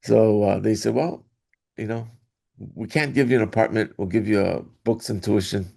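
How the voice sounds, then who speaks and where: A middle-aged man from Hawaii, a guest in an interview, telling his story in a rambling way with restarts and soft laughs. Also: on the low side, moderate pace, creaky. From Hawaii, Oahu. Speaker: guest, athletic director association executive. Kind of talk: casual interview talk.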